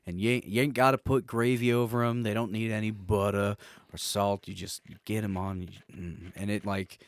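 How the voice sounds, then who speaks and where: A man's voice: fairly low pitch, dry recording, clean studio sound. A man co-hosting a casual podcast, reminiscing in a rambling, anecdotal way. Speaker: podcast co-host.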